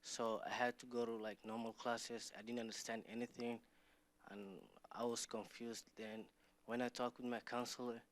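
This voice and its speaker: A teenage boy who grew up in Somalia and Kenya, speaking English as a learner and telling his story in simple, halting sentences. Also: medium pitch, flat delivery. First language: not English. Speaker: student speaker. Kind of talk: personal testimony.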